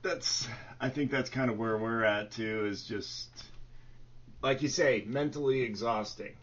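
Slow-paced man, sharp bright voice. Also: medium pitch, muffled recording. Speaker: podcast host. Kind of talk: casual chat.

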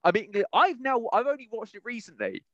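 A man's voice: very high-pitched, huge pitch swings, brisk, fairly bright tone, dry recording, muffled recording. A man hosting a podcast, chatting casually and opinionatedly in a rambling, emphatic way, often repeating phrases. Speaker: podcast host. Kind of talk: casual opinionated chat about films.

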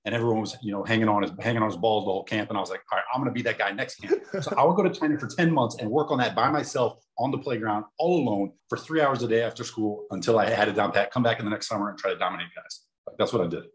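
Emphatic fast-talking ex-pro athlete man. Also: on the low side, rough recording. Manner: emphatic, confident storytelling. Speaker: guest, former pro basketball player. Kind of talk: casual interview.

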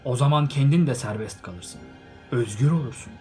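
A man voicing an old male wizard who speaks in a firm, measured, admonishing way. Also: fairly low pitch, darkish tone, soft background music, natural voice. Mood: stern.

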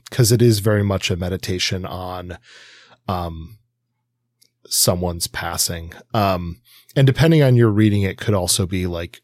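Low-voiced man, halting podcast co-host. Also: no echo, studio quality. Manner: halting, thoughtful, pausing often. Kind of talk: casual discussion of an album.

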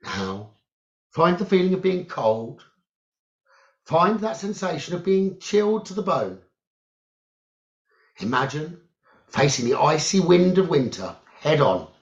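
A man leading a guided meditation, giving gentle instructions in short phrases with long pauses, on a muffled recording. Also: a little high, big pitch swings, vocal fry. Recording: muffled, dull lo-fi audio.

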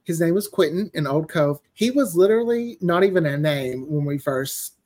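A man's voice: on the high side, animated, moderate pace, a little mellow. A man chatting casually as a podcast guest and writer, telling stories and acting out quoted lines as he goes. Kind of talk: casual interview chat.

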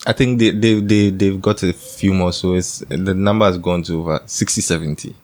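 A man's voice: low voice, fairly even intonation, normal speed, a little mellow. A man with a Ghanaian accent co-hosting a podcast, chatty and repeating words as he talks. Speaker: podcast co-host, journalist. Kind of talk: casual chat.

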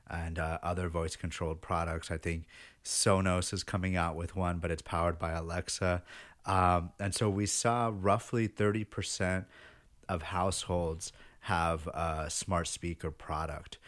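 Very deep-voiced man hosting a podcast. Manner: relaxed, friendly, many fillers. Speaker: podcast host. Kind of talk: casual interview podcast.

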